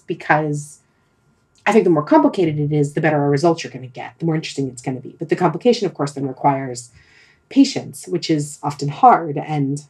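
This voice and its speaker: A woman's voice: low-pitched, a little mellow, slightly rough. A woman in a casual, reflective discussion, thinking aloud and repeating words for emphasis. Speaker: podcast discussion participant.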